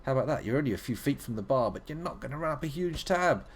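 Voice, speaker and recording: A man's voice: medium pitch, expressive, quick pace, slight background noise. A young man streaming a video game live, playful and joking, with muttered asides.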